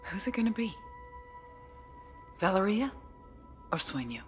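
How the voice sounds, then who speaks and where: A woman playing a commanding, sardonic intelligence officer in a video game, deliberate and menacing in delivery. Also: mid-range voice, very animated, faint music behind. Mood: cold persuasion. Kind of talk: dramatic video game acting.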